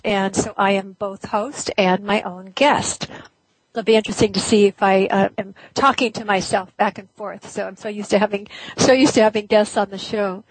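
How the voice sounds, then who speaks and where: A woman hosting her own healing talk show, slightly hoarse today, speaking in an earnest, chatty way with small restarts. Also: medium pitch, dry recording, rough recording. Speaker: radio show host. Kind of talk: casual solo talk show.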